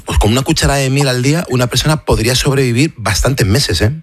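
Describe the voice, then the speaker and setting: A man's voice: mid-range voice, fast, breathy edge. A man, hosting a casual radio conversation. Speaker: radio host.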